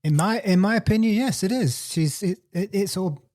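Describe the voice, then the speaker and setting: A man's voice: high-pitched, normal speed, a little mellow. A man co-hosting a cycling podcast, giving his opinion in casual chat and restarting his phrases as he goes. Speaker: podcast co-host.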